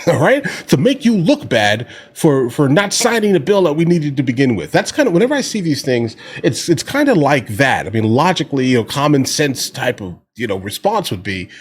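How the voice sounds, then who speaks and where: A man giving casual, slangy political commentary as host of a reaction video, talking directly to his viewers. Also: mid-range voice. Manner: informal slangy talk, often addressing listeners as guys.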